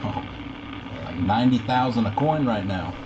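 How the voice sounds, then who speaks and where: A man's voice: on the low side, lively intonation, normal speed. A man in casual conversation, transmitting over a CB radio. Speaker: CB radio operator. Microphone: CB radio microphone, heard through receiver. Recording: muffled CB radio reception with static.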